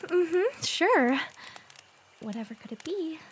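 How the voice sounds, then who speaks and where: A woman playing a sweet, excitable teenage girl with a crush in a fan audio drama, expressive and earnest. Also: extremely high pitch, slow, slight background noise, heavily acted. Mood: flustered.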